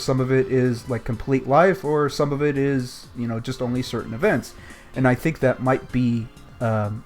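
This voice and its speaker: A man's voice: medium pitch, darkish tone. A man co-hosting a podcast, casually talking through his analysis of a story. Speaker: podcast co-host.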